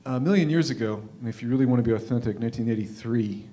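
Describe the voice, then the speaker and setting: A man in his fifties from Canada hosting a live show, telling a personal story informally in a wry, reflective way with deliberate emphasis. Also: mid-range voice, dark tone, a little hiss or hum. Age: 50s. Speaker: host of a live readers' theatre show.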